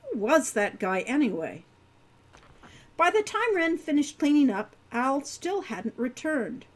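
An older woman narrating her own novel from home at a desk microphone. Her voice sits high and moves freely, with a gentle, expressive storytelling manner. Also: measured pace. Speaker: author reading her novel. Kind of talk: audiobook narration.

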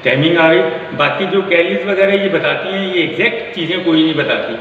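High-voiced trainer in a very echoey gym. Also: male voice, a little fast, a bit bright, a little hiss or hum. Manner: patient, step-by-step instruction with frequent "inshallah". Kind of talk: explaining a workout plan. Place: indoor gym with hard walls. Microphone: vlogger's phone held at a distance. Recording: very echoey, dull gym audio.

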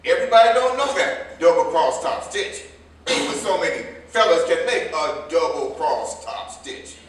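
A man's voice: fairly high pitch, big pitch swings, moderate pace. A man in a staged play reading playing an older American tailor turned driver, a proud, hard-drinking, folksy storyteller who rambles. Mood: boastful. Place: indoor theater stage, recorded from afar. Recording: echoey stage, distant mic, faint noise.

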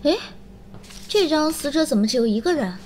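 A woman playing a sharp, chatty forensic examiner in an audio drama, conversational and expressive. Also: sits high, brisk, a little hiss or hum. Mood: curious, analytical. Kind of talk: Chinese audio drama acting.

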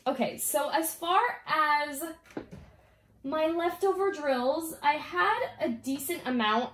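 A woman's voice: fairly high pitch, a little hiss or hum. Giggly, slightly congested young woman. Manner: rambling, giggly, lots of "um" and "like". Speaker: diamond painting vlogger. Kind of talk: casual review chat, reading off notes. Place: indoors at home, filming down at a canvas.

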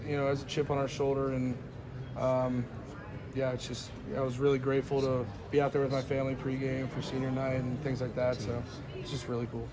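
A young college football player answering reporters after a game in a crowded weight room, earnest and grateful, with many fillers. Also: male voice, medium pitch, background noise. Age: young adult. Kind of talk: postgame media scrum. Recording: crowd chatter behind.

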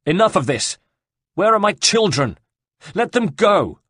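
A man's voice: sits high, sing-song, unhurried, a bit bright, slightly rough, no room sound, studio quality, pitched above the performer's own voice, exaggerated voice. A man voicing a fierce, defiant Italian noblewoman in an audiobook, crying out vehemently. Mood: desperate anger. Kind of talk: audiobook character dialogue.

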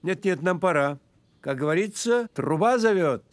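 An actor voicing a courtly grasshopper maestro who guides children through music in a theatrical, measured, explanatory cartoon manner. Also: male voice, sits high, expressive, dry recording. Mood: courteous. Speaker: guide and teacher. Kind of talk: animated educational storytelling. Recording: music behind the voice.

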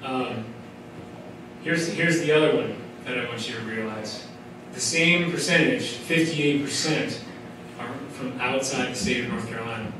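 A man in his thirties presents to an audience from a podium, talking in a casual, enthusiastic way full of "um"s and restarts. He is recorded from far back in a large hall, so his voice rings with heavy echo over a clear layer of background noise. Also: medium pitch, measured pace. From Colorado. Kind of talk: conference presentation. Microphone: podium mic, filmed from far back. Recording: echoey hall from far off, noisy.

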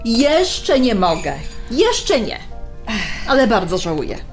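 An older Polish-American mother in a radio play, with a fairly high voice. She is opinionated and quick to bristle, exclaiming and fretting over her family. Faint music accompanies her. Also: very animated. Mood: weary, fretful. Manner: indignant, argumentative, exclamatory. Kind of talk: audio drama dialogue.

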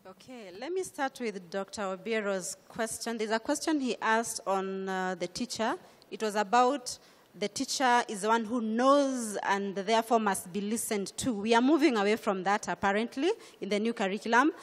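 A middle-aged woman with a Kenyan accent, speaking on a conference panel about curriculum changes in a measured, explanatory way, into a handheld mic. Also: fairly high pitch. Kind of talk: panel discussion. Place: conference stage. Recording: hall reverb.

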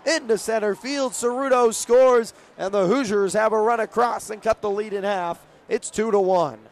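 A man's voice: high voice, normal speed. A young man calling live baseball play-by-play for radio. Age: young adult. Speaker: play-by-play broadcaster. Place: outdoor ballpark broadcast. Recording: crowd and stadium noise behind. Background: ballpark crowd.

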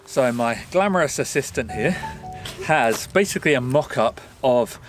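A man's voice: mid-range voice, expressive, moderate pace, soft background music. A man in his thirties hosting a renovation vlog, explaining things casually to camera outdoors. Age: 30s. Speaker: vlog host renovating a house. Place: outdoors at a rural building site.

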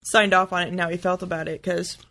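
A man chatting casually as a podcast co-host, in a halting way that repeats words. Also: high voice, little pitch movement, creaky, bright, forceful tone.

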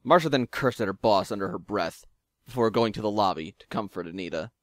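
A man narrating a scripted story in a measured, literary way. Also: on the low side, lively intonation, no echo. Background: music.

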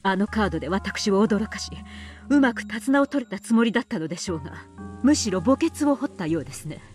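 An actress performs a proud, stern lady of a wealthy house from a visual novel. She speaks very quickly in formal, haughty, clipped phrases with indignant force. Music plays underneath. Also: mid-range voice, expressive, darkish tone, natural voice. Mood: scornful confidence. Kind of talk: Japanese visual novel acting. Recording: background music under dialogue.

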